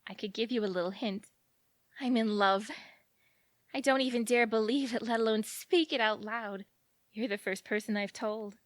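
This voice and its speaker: An actress playing a giddy, lovestruck romantic woman in an audio drama, gushing and dramatic and quick to take offence. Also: fairly high pitch, dry recording, natural voice. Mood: dreamy, in love. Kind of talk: audio theatre acting.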